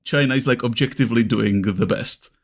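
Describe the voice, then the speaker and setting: A man with a Czech accent talking casually as a podcast host, rambling and often restarting his sentences. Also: mid-range voice. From Czech Republic. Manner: halting, rambling, frequent self-restarts.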